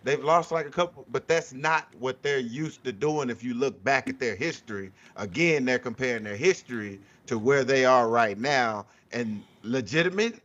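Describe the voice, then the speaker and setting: A man's voice: mid-range voice, fairly bright tone, highs cut off. A man talking sports casually on a panel, blunt and informal, often circling back to restate his point. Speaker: sports talk show guest or panelist. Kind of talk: casual unscripted sports debate.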